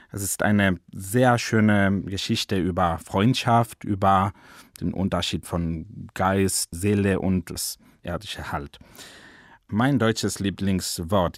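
A man's voice: on the low side, no echo, clean studio sound. A Spanish man in his forties, a native Spanish speaker who teaches German, talking about his love of the language in an earnest, enthusiastic way with a Spanish accent. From Spain. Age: 40s. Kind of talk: personal portrait interview.

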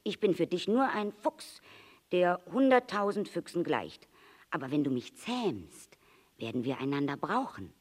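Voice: medium pitch, very animated, unhurried, slightly rough, pitched above the performer's own voice. A storyteller voicing an earnest, wistful fox who longs to be tamed, speaking in an emphatic, pleading, explanatory way. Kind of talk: storybook character reading.

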